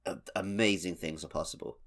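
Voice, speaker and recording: A man co-hosting a podcast, talking casually and emphatically in animated conversation. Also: low voice, a little fast. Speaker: podcast co-host. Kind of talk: casual video game podcast discussion.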